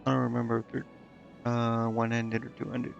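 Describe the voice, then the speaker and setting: A man's voice: mid-range voice, monotone, normal speed, creaky, highs cut off. A man streaming a game, muttering indecisively to himself in casual commentary as he thinks aloud. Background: game music.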